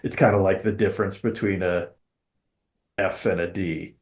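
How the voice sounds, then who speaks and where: Deep-voiced man talking on a podcast. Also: steady tone, moderate pace, a little mellow. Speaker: podcast host. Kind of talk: casual podcast discussion.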